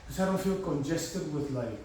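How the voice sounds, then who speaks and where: A man's voice: fairly high pitch, normal speed, very echoey, recorded from a distance, slight background noise, poor audio. A man with a congested, blocked-nose voice, coaching a home garage workout and cheering viewers through their reps. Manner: energetic, encouraging, counting reps. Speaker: fitness coach. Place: garage gym.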